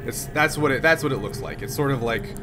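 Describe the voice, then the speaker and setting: A man's voice: medium pitch, brisk. A man running a tabletop game as game master, describing scenes in a loose, rambling way. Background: background music.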